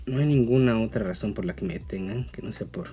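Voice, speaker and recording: A man's voice: on the low side, fast talker, warm tone, faint music behind. A man with a Mexican accent giving a casual, explanatory opinion talk as a video blogger, often checking in with tag questions.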